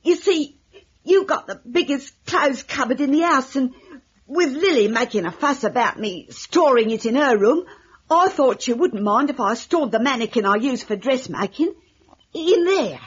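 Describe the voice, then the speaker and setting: High, fluttery, husky landlady. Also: animated, moderate pace. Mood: apologetic. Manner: fluttery, exclamatory, melodramatic. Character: fussy, apologetic, excitable landlady. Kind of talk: old-time radio drama acting. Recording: dull lo-fi vintage radio recording.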